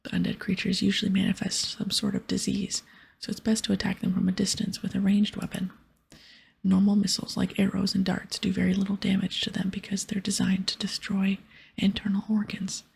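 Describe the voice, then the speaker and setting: A woman voicing a scheming, self-justifying male wizard from a fantasy game in a breathy whisper. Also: mid-range voice, monotone, fairly quick, darkish tone, heavily acted. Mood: explaining. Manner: pleading, then dryly explanatory.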